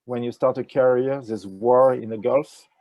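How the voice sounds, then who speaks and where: An older man with a French accent, answering interview questions in a halting way with many fillers, speaking over a video call with a wired earphone mic. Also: medium pitch, steady tone, dry recording, dull audio. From France. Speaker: ecology professor, interview guest. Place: indoors with a virtual nature backdrop.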